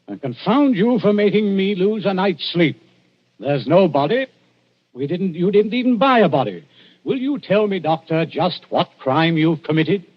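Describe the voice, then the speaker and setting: An actor playing a blunt, exasperated police inspector of old London in a 1940s radio drama, speaking with indignant emphasis. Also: male voice, high voice, sing-song, moderate pace, highs cut off, slight background noise.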